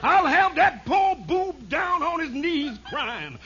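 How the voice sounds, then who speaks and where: Through heavy noise on a muffled old radio recording comes a shady braggart. His voice is extremely high, and he blusters cockily in slangy, broken grammar. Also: sing-song, takes it slowly, gravelly, full character voice. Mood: cocky bragging. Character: brash, boastful, shady male fast talker. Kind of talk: 1950s radio situation comedy acting.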